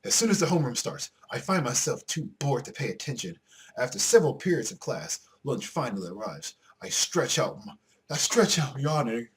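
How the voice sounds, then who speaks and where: Animated young male gamer, lively pitch. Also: brisk. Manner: expressive, slangy. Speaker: gaming video host. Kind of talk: casual let's play, reading game text aloud. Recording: loud guitar game music behind. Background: game music.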